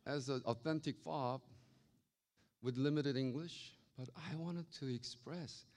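Animated Korean-accented man preaching. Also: medium pitch, slow pace, long pauses. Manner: animated, acts out quoted voices. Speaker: pastor. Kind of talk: storytelling sermon.

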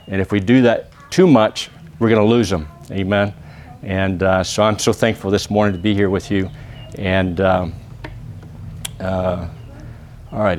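A man from Texas with a Texan accent, preaching a church sermon and praying, often pausing with "uh" and asking the congregation for an "amen". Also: lowish voice, slight background noise. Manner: peppers phrases with "amen?" asking the congregation to answer; halting, with many "uh" pauses and restarts. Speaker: visiting preacher.

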